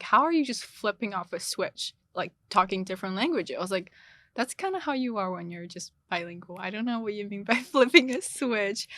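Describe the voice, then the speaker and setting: A woman's voice: mid-range voice, pushed and bright, no echo. A young woman from China with a slight Chinese accent, a native Chinese speaker chatting casually as a podcast guest, laughing often and restarting her phrases. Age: young adult. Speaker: guest, international student. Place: small studio.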